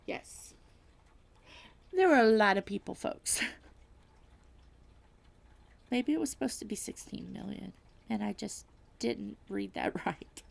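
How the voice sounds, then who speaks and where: A woman vlogging at home while diamond painting, reading aloud and chatting in a self-deprecating way, with a slightly stuffy nose and frequent soft whispers. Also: mid-range voice, expressive, slow, gravelly, pauses often. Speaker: crafting vlogger.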